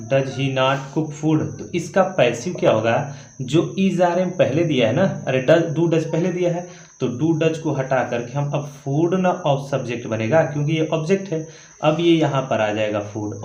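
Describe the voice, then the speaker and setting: A man's voice: fairly high pitch, a little mellow, muffled recording. A man teaching grammar step by step, repeating key points and checking in often with short tags. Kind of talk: classroom-style grammar lesson, explaining rules step by step. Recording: echoey room.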